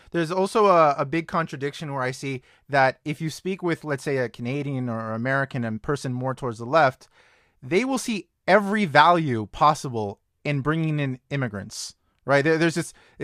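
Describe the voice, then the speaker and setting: A young man with a fairly high voice hosts a podcast, putting loose, conversational questions to his guest. The recording is close and dry, with no room sound around him. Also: animated, moderate pace, fairly bright tone, no echo. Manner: conversational, with fillers and restarts. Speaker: podcast host. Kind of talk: casual interview questions.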